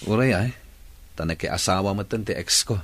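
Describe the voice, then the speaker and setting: A man's voice: low-pitched, moderate pace, a bit bright, no echo. A man playing an earnest, devoted young lover in an Ilocano radio drama, with an Ilocano accent.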